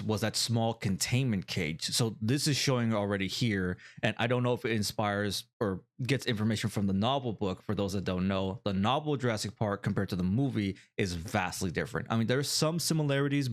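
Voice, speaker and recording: A man hosting a reaction video, giving casual, conversational commentary, musing aloud and interjecting. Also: on the low side.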